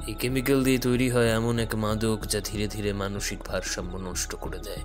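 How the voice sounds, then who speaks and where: An actor playing a calm, enigmatic detective in an audio thriller, speaking in a measured, deliberate, brooding way. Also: male voice, on the low side, natural voice. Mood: grave, explaining. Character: calm, enigmatic male detective who works in the shadows. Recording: dramatic music under the voice.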